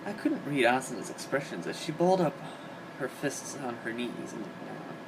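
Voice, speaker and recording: Male reader, mid-pitched, dramatic delivery of comic fan fiction, noisy amateur setup. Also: lively intonation, moderate pace. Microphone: home microphone on an internet call. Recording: hobby voice-call recording, clear background noise.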